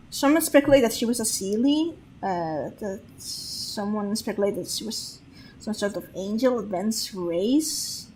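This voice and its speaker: A woman's voice: medium pitch, lively intonation, slow pace, a little mellow, a little hiss or hum, poor audio. A woman streaming a game, thinking aloud in a hesitant, casual way and laughing often. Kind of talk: gameplay commentary.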